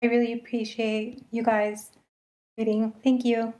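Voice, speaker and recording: Hesitant, polite woman, higher voice. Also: little pitch movement, unhurried, a little mellow. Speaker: screenwriter at a table read. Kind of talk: casual chat. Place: online voice meeting.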